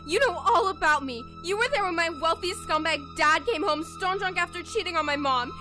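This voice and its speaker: A woman's voice: very high voice, brisk, music in the background. A young woman in an amateur audio drama playing a bitter, guarded rich teenage girl, dramatic and cutting. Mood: hurt, bitter. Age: young adult.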